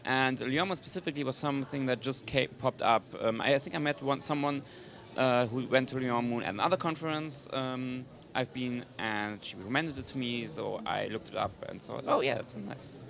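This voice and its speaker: A young man from Germany, a guest speaking in a measured, thoughtful way. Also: medium pitch, vocal fry, fairly bright tone. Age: young adult.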